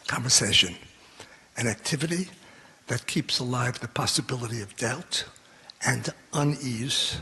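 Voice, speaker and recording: An older man reading a prepared statement at a podium in a large hall, measured and earnest, pressing his points with repetition. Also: medium pitch, bright, forceful tone, husky. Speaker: panel discussant. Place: large concert hall stage. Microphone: podium microphone. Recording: long hall reverb.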